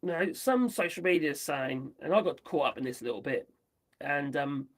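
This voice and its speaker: A man from the UK, chatting his way through the news on a livestream, rambling and tossing in tag questions, his voice going hoarse. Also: a little high, lively intonation, moderate pace. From United Kingdom. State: losing his voice. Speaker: livestream host. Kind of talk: casual commentary on news.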